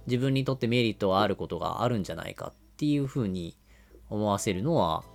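Male podcast explainer, low and gravelly, step-by-step delivery, music underneath. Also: lively intonation, fast talker. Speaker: podcast host explaining marketing. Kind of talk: explanatory business podcast talk.

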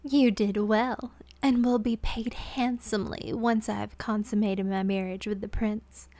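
A woman voicing a cruel, seething evil queen who speaks slowly and menacingly. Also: medium pitch, steady tone, mellow, no room sound, natural voice. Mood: haughty. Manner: slow, menacing, snarling.

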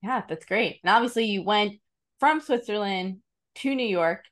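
A woman's voice: medium pitch, normal speed. A woman hosting an interview podcast, asking friendly, well-structured questions. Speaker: podcast host.

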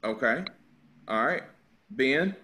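Male podcast host, casual, jokey, asks questions, mid pitch. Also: expressive, takes it slowly, pushed and bright, a little hiss or hum. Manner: laughing, teasing, asks questions. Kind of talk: casual group chat.

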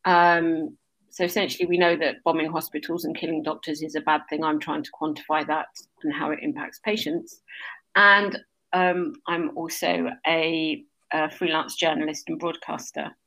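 A middle-aged woman talks as a guest on a relaxed podcast. Her voice sits a little low for a woman. She speaks thoughtfully and corrects herself now and then. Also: moderate pace, rough recording. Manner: thoughtful, with fillers and self-corrections. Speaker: guest, emergency doctor and journalist. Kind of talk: casual podcast interview.

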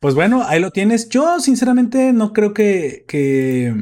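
A man's voice: high-pitched, sing-song, a little slow, darkish tone. A man hosting a casual podcast chat. He jokes, laughs often and stumbles when reading aloud. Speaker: podcast host.

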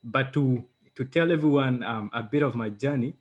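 A young man with a husky, breathy voice recounts his life story as an interview guest. He talks casually over a video call, circling back with "um" and "like" as he goes. His pitch sits in an ordinary middle range. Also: normal speed, dull audio. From Uganda. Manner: storytelling, many fillers and restarts. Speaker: guest, author.

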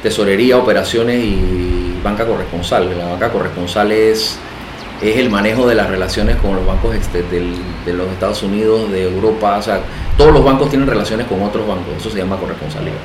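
A middle-aged man with a Panamanian accent, talking casually as an interview guest, animated and self-deprecating, repeating phrases for emphasis. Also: fairly low pitch, fairly quick. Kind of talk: casual interview conversation, storytelling. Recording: echoey room, faint background noise.

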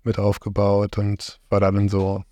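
A middle-aged man from Germany, interviewed about his life, speaks in a relaxed, conversational way, often hesitating and searching for his words. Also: on the low side, measured pace, no room sound. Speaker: interviewee, farm host.

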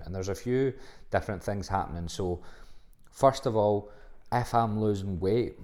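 A soft-spoken man with a low, deep voice talks through his podcast on his own. His tone is mellow and relaxed. He is blunt and rambling, full of fillers and casual swearing. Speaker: podcast host and fitness coach. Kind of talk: casual solo podcast monologue.

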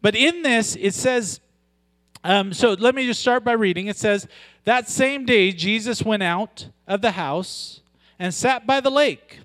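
A man preaching a sermon with a loud voice, moving from joking, conversational asides to slow, weighty emphasis on scripture. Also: high-pitched, dry recording. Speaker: pastor.

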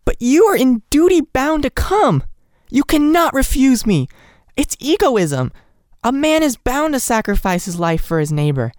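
Frantic young wife, high and pleading, close dry sound, read by a woman. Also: animated, measured pace, dark tone, dry recording. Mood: desperate pleading. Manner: sobbing, begging, insistent.

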